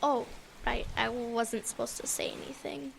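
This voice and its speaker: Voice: mid-range voice, fairly even intonation, normal speed, vocal fry. A young performer playing a cheeky little boy who blurts things out as the kid brother in a radio drama. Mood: candid. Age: child. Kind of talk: youth radio drama acting. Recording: noticeable background noise.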